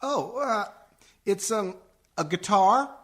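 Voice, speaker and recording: A performer playing a hesitant, reluctant grown son in a comic radio play, speaking haltingly. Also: medium pitch, animated, a bit bright. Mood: hesitant, uneasy.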